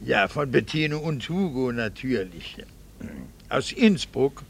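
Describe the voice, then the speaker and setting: An actor playing a middle-aged doctor and husband in a radio drama, a gravelly voice and a dry, wry, quietly resentful manner. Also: male voice, mid-range voice, sing-song, slow, a little hiss or hum, full character voice. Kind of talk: 1960s radio drama acting.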